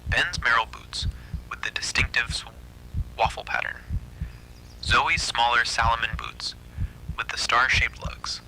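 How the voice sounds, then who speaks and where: A man's voice: medium pitch, measured pace, creaky, pauses often, bright, forceful tone, in a whisper, rough recording. A man narrating a scripted first-person horror story.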